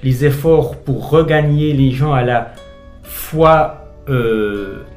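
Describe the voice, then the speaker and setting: A middle-aged man from Switzerland, a pastor being interviewed on the radio, speaking thoughtfully with frequent pauses and hesitations. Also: medium pitch, a little mellow, reverberant, faint music behind.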